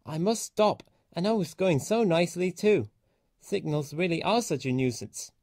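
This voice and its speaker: A man voicing an impatient, boastful young male tank engine in a children's storybook, with a fussy, excitable manner. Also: on the high side, normal speed, no room sound, studio quality, voice pushed up. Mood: annoyed.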